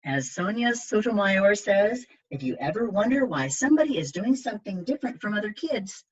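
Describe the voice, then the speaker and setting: A woman's voice: mid-range voice, big pitch swings, brisk, slightly rough. A woman hosting an online children's library program, chatty and polite as she reads and explains, heard over a video call. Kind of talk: reading aloud and guiding viewers through an online library program. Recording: dull, lo-fi video call audio.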